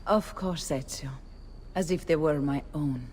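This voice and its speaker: An actress playing a composed courtesan mentor who gives measured advice. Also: female voice, low voice, slight background noise. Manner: calm, measured, advising. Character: composed female courtesan mentor, worldly and calm.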